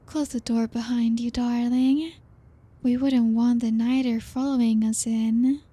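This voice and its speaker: An elegant female vampire, played by a woman, speaks as a gracious hostess with a quietly possessive, teasing edge. Her voice is slightly high yet mellow, unhurried and held to a narrow, even melody. It is an intimate ASMR roleplay delivery. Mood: teasing, hospitable.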